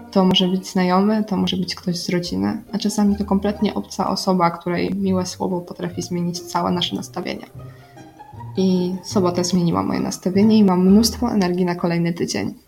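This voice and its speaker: Young woman doing a diary style radio monologue, bit of gravel in the voice, music playing behind. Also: fairly low pitch, level tone, moderate pace, darkish tone. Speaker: radio segment host. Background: background music.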